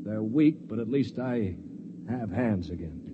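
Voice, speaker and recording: Level-headed, dry-witted hero narrating a vintage sci-fi radio play. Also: male voice, fairly low pitch, unhurried, noisy background. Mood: calm. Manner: calm, sardonic, reasoning. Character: world-weary space traveler and narrator. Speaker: narrator and lead. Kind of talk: 1950s radio drama acting. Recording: dull old radio recording with music behind.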